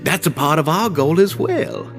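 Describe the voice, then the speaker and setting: An actor playing an earnest, courteous club founder in a video game, who speaks in a deferential, upbeat and slightly flowery way. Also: male voice, fairly high pitch, animated, normal speed, a bit bright, faint music behind. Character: earnest middle-aged male club founder, courteous and idealistic.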